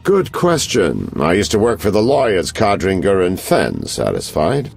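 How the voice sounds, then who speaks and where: Gravelly, very deep sly private detective. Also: male voice, huge pitch swings, measured pace, darkish tone, faint music behind, full character voice. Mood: sly, knowing. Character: sly, knowing male private detective selling information. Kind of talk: video game character acting.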